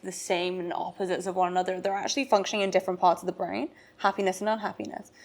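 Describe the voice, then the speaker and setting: A woman co-hosting a podcast in a casual chat, laughing often as she explains the research she has read. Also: medium pitch. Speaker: podcast co-host.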